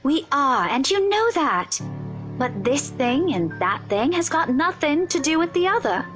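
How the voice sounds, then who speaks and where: Level-headed friend; high, measured, reasoning. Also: female voice, music in the background. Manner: calm, reasoning.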